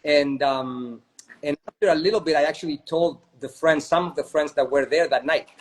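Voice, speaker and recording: A man's voice: fairly high pitch, normal speed, poor audio. A man from Chile, a spirits company co-founder, telling a long story in a casual interview over a video call, often checking in with "right?". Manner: chatty, often adds "right?".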